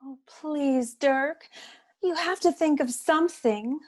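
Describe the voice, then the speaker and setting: Woman playing a high-voiced, sultry noir secretary. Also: slow pace. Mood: melodramatic dismay. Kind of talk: comic noir radio play acting.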